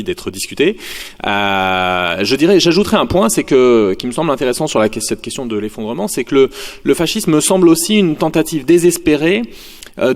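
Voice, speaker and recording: A male sociology lecturer giving a university conference talk, thinking aloud with frequent fillers and restarts. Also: mid-range voice. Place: lecture hall. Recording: long hall reverb.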